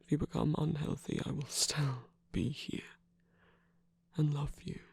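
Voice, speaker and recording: A performer of unclear sex playing an affectionate, battle-scarred villain lover in an intimate ASMR roleplay, tender, teasing and soft-spoken, with a breathy, husky voice. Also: mid-range voice, flat delivery, a little slow, no echo, heavily acted. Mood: tender, reassuring.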